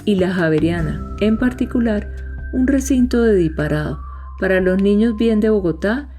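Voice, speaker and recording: A woman's voice: mid-range voice, moderate pace, dark tone. A woman narrates a scripted story as a podcast host. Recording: music behind the voice.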